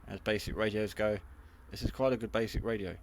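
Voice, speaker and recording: Low-pitched man, rambling review. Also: steady tone, brisk, slight background noise. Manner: rambling, matter-of-fact, modest. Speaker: hobby radio reviewer. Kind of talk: casual unscripted product review.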